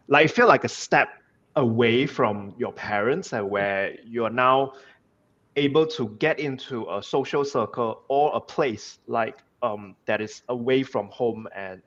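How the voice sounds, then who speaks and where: A man with a Malaysian accent hosting a casual interview, agreeable and halting, often repeating words as he goes. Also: medium pitch, a bit bright, highs cut off. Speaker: host.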